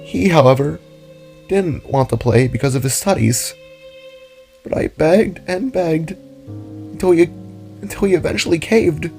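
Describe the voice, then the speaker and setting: A man's voice: on the high side, a little slow, vocal fry, long pauses, soft background music, pitched above the performer's own voice. A man voicing a kind young female animal character in a dramatic story, hesitant and sad as she speaks. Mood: regretful confession. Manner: soft, hesitant, stammering, comforting.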